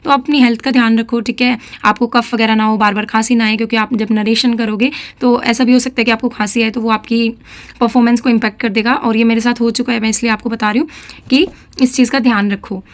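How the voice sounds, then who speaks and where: A woman's voice: fairly high pitch, steady tone, quick pace. A young woman with a slightly sore throat giving casual, chatty advice in a vlog and often checking in with her listeners. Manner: chatty, mixes English phrases, frequent "theek hai" check-ins. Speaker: defence exam aspirant vlogger.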